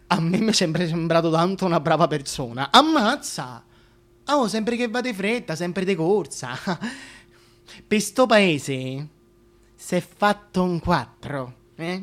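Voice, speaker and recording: A man performs a working-class Roman busybody in a comic theatre monologue. The voice is bright and forceful, full of colloquial exclamations, indignantly standing up for the king like a neighbourhood gossip. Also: high voice. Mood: confiding, knowing.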